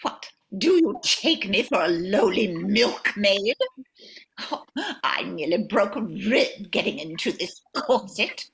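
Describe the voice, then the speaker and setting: A woman's voice: medium pitch, animated, slow, vocal fry, a bit bright, exaggerated voice. A woman playing a haughty, self-important young noblewoman in a theatrical, imperious manner for a radio comedy. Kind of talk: old-time radio comedy acting.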